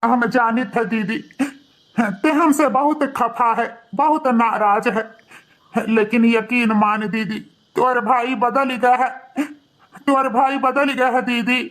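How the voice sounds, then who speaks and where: Man voicing a high-pitched pleading Awadhi son. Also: fairly even intonation, takes it slowly, a bit bright, full character voice. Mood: tearful pleading. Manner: pleading, repetitive. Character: remorseful grown son, pleading and guilt-ridden. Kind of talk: melodramatic moral cartoon voice acting.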